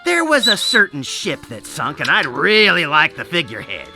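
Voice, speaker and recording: An eccentric, swooping shopkeeper over music. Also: male voice, a little high, huge pitch swings, normal speed, gravelly, heavily acted. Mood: scheming. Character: eccentric, shrewd, haggling antique shopkeeper. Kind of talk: animated adventure game character acting. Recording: game music behind the voice.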